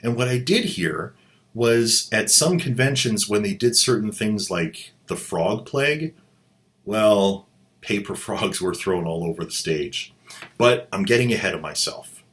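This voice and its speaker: A man's voice: fairly low pitch, lively intonation, unhurried, a bit bright. A man hosting a commentary show, sardonic and mocking with playful asides. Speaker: host. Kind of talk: sarcastic commentary.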